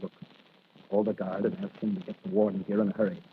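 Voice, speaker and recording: A masked vigilante in an old radio serial, urgent and commanding. His voice is lowish and very husky, heard through a tinny, narrow-band recording. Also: flat delivery, quick pace. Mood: urgent, reassuring. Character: masked male vigilante hero, brisk and commanding. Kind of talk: 1940s radio acting.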